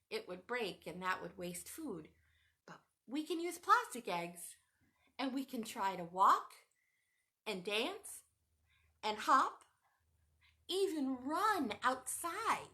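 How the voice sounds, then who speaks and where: A woman hosting a children's craft lesson at home, talking straight to young viewers in an animated, sing-song way. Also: mid-range voice. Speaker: children's show host. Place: home room with a cat nearby.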